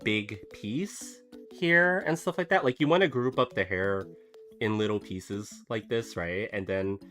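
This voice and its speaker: Rambling man, bright voice, soft music. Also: on the low side, measured pace. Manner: rambling, many fillers and restarts. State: recovering from a cough. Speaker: artist streaming a drawing session. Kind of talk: casual chat while drawing. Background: soft background music.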